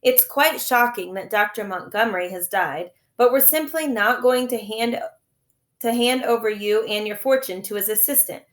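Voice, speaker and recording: A woman's voice: fairly high pitch, moderate pace, lightly acted. A woman voicing a dismissive, stuffy grown man from a storybook, reasoning in a condescending way. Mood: weary, stern. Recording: echoey room.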